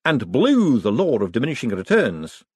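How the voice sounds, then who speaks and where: A man voicing a nervous, excitable male economist who stammers and gets flustered. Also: medium pitch, normal speed, slightly rough, no room sound, pitched above the performer's own voice.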